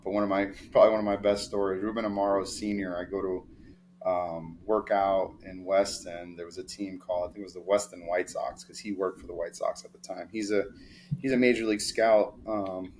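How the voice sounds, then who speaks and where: A young man from New York, a sports agent telling stories in a relaxed, rambling interview with many fillers. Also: deep voice, a little fast, slight background noise. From New York, of Dominican family. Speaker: baseball player agent, guest. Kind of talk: casual interview storytelling.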